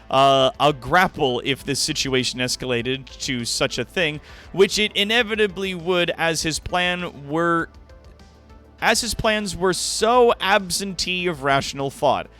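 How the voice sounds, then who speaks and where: A man's voice: a little high, bright, forceful tone. A man hosting a livestream, giving a dramatic reading of fan fiction with wry, sweary asides to viewers. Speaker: livestream host.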